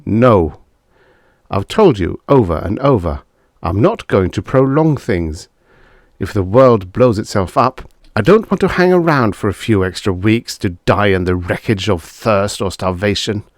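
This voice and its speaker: A man's voice: fairly low pitch, expressive, a little slow, dry recording, clean studio sound. A man voicing a weary, stubborn but tender husband in an audiobook story. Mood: stubborn, angry.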